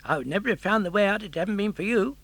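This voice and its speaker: A brisk, cheerful tunny fish who talks fast and keeps things light. A man puts him on in a raised, lively voice, close and dry. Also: sits high, no room sound, voice pushed up, exaggerated voice. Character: friendly chatty tunny fish.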